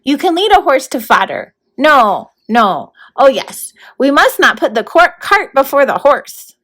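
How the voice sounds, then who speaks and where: A woman's voice: sits high, big pitch swings, measured pace, a bit bright, pitched above the performer's own voice, heavily acted. A woman voicing a formal, fussy, stern male horned toad mentor in a storybook read-aloud. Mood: flustered, pleased. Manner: proper, grandly worded, matter-of-fact.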